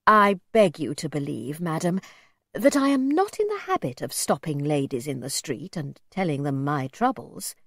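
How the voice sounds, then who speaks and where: A woman voicing a gentle, pleading, very old gentleman in a storybook, well-spoken and old-fashioned in his courtesy. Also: mid-range voice, very animated, unhurried, no echo, studio quality. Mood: humble. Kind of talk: storybook character voice.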